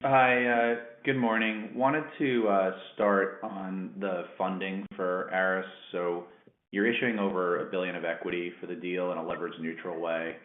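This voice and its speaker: A man's voice: low-pitched, flat delivery, normal speed. A man calling in as a financial analyst, asking conversational business questions. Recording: roomy phone-like line.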